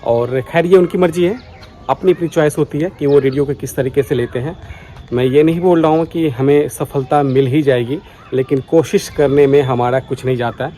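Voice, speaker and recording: An Indian man with a soft, relaxed tone talks to his viewers in an earnest, casual vlog style. He drops English words into his speech. He is outdoors, with faint noise behind him. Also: mid-range voice, normal speed. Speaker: vlogger, radio enthusiast. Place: outdoors on a foggy morning.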